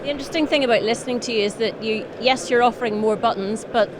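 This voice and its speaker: A woman's voice: fairly high pitch, fast talker. A woman interviewer speaking conversationally, asking questions and commenting on the answers. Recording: clear crowd noise of a busy event.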